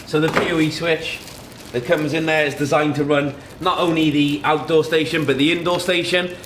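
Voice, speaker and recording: A man's voice: fairly high pitch, fairly even intonation, moderate pace, a bit bright, a little hiss or hum. A man demonstrating a product in an informal, explanatory, matter-of-fact way.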